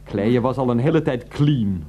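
An actor playing a reproachful, anxious, exasperated husband in a radio play. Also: male voice, mid-range voice, moderate pace, dark tone, lightly acted. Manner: reproachful, agitated. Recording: radio drama with faint background noise.

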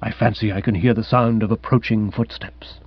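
A man's voice: fairly low pitch, steady tone, normal speed, no echo, dull audio, pitched below the performer's own voice, natural voice. A man voicing an unflappable, deferential English manservant in a comic audiobook, composed and very formal. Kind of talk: comic audiobook character acting.

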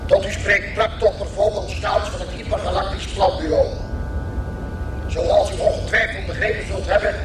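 A man plays a pompous alien fleet commander in a radio play, high in pitch and declaiming officially. The voice rings with echo from a distance, with music behind it. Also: lightly acted. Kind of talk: radio play acting, formal public announcement. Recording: distant, echoing announcement over music.

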